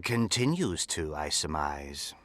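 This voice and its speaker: A man's voice: rumbling low, sing-song, slow, full character voice. A man playing a pompous, sly aristocratic count in a video game, speaking in a theatrical, florid courtly manner with mocking, suggestive emphasis. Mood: sly, evasive. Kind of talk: video game character dialogue.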